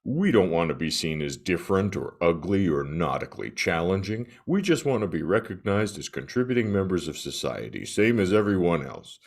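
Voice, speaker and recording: Huge ship character, played by a man: very low, wounded, melodramatic, widely swinging delivery, earnest plea for acceptance. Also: big pitch swings, brisk, few pauses, exaggerated voice. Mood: pleading, hopeful. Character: aggrieved, self-pitying huge ship pleading for acceptance. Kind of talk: mock-serious dramatic reading.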